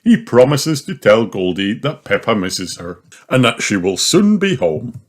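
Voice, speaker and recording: A storyteller reading a children's picture book aloud in an expressive, exaggerated way. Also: mid-range voice, unhurried. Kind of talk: animated scripted narration of a picture book. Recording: clearly noisy recording with clicks.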